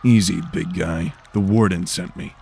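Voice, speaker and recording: A man's voice: low voice, moderate pace, creaky, mellow, a little hiss or hum. A man voicing a cool, wry, world-weary detective in a fantasy audio drama, speaking tersely. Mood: calm.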